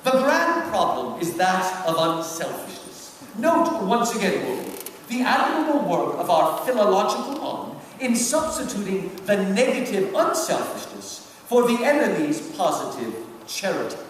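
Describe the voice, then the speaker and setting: A man playing a pompous, sly senior devil in a dramatic book reading, with a theatrical, deliberate, drawn-out delivery. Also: high-pitched, very animated. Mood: pompous, instructive. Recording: echoey hall from far off, noisy.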